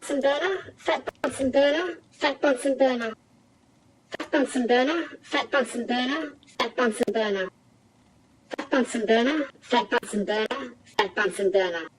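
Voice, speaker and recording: A man's voice: normal speed, noisy background. A man voicing a sweet girl through a voice changer that leaves the sound processed and unclear. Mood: repetitive, neutral. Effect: voice changer pitched up to female.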